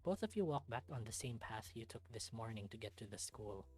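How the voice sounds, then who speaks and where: A husky, medium-pitched voice hosts a gaming let's-play. The delivery moves between storybook-like narration and casual commentary, with music playing faintly behind it. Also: sing-song, fairly quick. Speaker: let's-play host reading a visual novel aloud.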